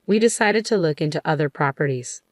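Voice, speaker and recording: A woman's voice: sits low, animated, a little fast, dry recording, studio quality. A woman narrating a scripted first-person story as the storyteller.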